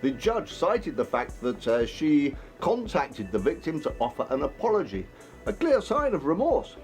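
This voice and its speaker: A high, lively male radio host. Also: normal speed, faint music behind. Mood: challenging. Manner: pointed, challenging questioning. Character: male radio talk show host, sceptical, challenging interviewer. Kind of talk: dramatised radio interview.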